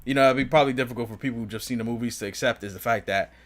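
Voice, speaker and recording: A man's voice: mid-range voice, rapid-fire, a bit bright. A man co-hosting a casual fan discussion, speaking in an informal, slangy way. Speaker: podcast co-host.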